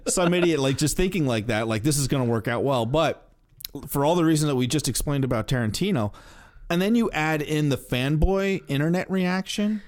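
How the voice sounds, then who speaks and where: A man's voice: fairly high pitch, animated, a little fast, a little mellow. A man co-hosting a podcast, giving casual opinions emphatically and often repeating himself. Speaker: podcast co-host.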